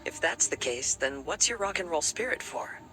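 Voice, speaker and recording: Game role: sincere, formal female companion, lively intonation under a measured delivery, prominent music. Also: low-pitched, rough recording. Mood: questioning. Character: composed, sincere companion with growing taste for rock. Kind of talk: video game voice acting.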